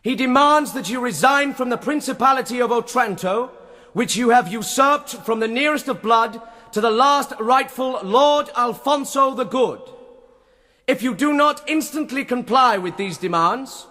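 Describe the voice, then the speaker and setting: Defiant herald, bright high voice, formal proclaiming, gothic radio play in echoey hall. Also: male voice, normal speed. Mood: defiant proclamation. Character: bold, defiant herald of a knight. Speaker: radio drama actor. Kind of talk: gothic radio drama acting. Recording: reverberant castle-hall effect.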